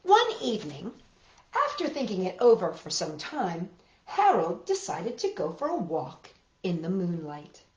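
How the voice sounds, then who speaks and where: A middle-aged woman hosting a children's storytime, with an expressive, storytelling way of talking, recorded in a living room. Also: lowish voice. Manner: animated, expressive, deliberate phrasing when reading. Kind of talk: storytelling for children, talk and picture-book reading. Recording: roomy echo, dull lo-fi sound.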